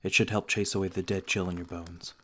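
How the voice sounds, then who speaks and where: A man playing a courteous, cautious noble vampire lord in an ASMR roleplay, speaking in a formal, gentle, measured way. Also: low-pitched, level tone, a little hiss or hum. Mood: calm, guarded courtesy.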